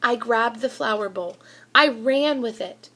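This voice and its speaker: A woman with a high voice reads a children's storybook aloud. She takes her time, with a deliberate, animated storytelling delivery that puts expressive emphasis on the words. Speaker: school reader of children's books. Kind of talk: expressive children's picture book read-aloud.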